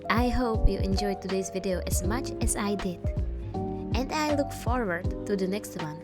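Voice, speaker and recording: Soft-spoken Czech woman over music. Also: medium pitch, normal speed. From Czech Republic. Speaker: artist vlogger. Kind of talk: soft-spoken art vlog narration.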